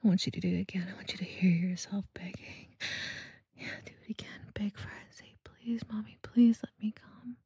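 A woman speaking in an intimate, teasing and commanding erotic roleplay style, in a breathy whisper close to the microphone. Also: mid-range voice, fairly even intonation, moderate pace, mellow, no room sound. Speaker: erotic audio performer.

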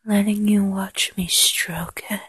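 Slow, breathy whispering woman. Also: mid-range voice, flat delivery, fairly bright tone, no room sound. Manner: slow, teasing murmur with lingering pauses and sighs. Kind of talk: intimate erotic ASMR-style roleplay addressed to the listener.

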